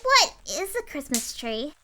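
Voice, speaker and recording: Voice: high-pitched, very animated, measured pace, bright, forceful tone, a little hiss or hum, full character voice. A performer in an audio drama playing a young, imaginative, happy boy who asks questions with wide-eyed eagerness. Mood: curious.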